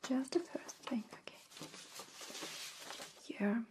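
Woman, non-native English, soft whisper, high voice, halting reassuring phrasing, gentle alien roleplay, ASMR. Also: animated. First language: not English. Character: gentle, friendly alien woman examining a visitor.

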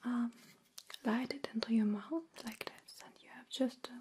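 A woman whispering softly and reassuringly in an ASMR roleplay, playing a kind, caring alien examiner. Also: a little high, fairly even intonation, a little hiss or hum. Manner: soft, slow, reassuring, with gentle repetition. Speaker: ASMR performer.

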